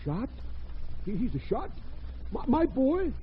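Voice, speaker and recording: An indignant immigrant father speaks broken English in a high, excitable, swooping voice. It is a muffled old radio drama recording. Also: slow pace, a little hiss or hum, full character voice. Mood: alarmed. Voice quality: broken English with foreign-sounding grammar.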